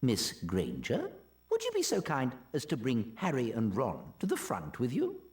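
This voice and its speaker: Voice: medium pitch, huge pitch swings, normal speed, darkish tone. An actor playing a courteous, instructive charms teacher in a video game, speaking formally and precisely. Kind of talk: video game cutscene acting.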